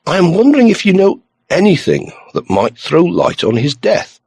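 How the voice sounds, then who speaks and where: A man voicing a steady male police inspector in an audiobook, polite and businesslike. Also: high voice, normal speed, vocal fry, husky, no echo, voice pushed up, full character voice. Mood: careful, sympathetic.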